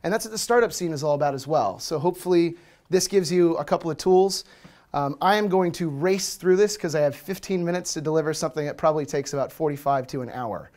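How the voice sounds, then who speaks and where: A man's voice: on the high side, quick pace. An American man from Washington, D.C., in his thirties, giving a casual, chatty conference talk with plenty of fillers. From Washington, D.C., USA. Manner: relaxed, chatty, many fillers. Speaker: conference speaker. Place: meetup room with projector screen.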